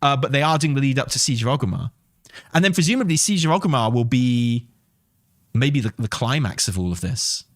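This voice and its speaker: A man hosting a gaming channel, giving excitable, sweary off-the-cuff reaction commentary as he thinks aloud. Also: mid-range voice, fairly quick, no room sound, clean studio sound. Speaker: host of a gaming news channel.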